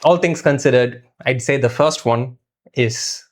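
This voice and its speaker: A man's voice: mid-range voice, measured pace. Thoughtful man chatting through a film review. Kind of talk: conversational film review discussion.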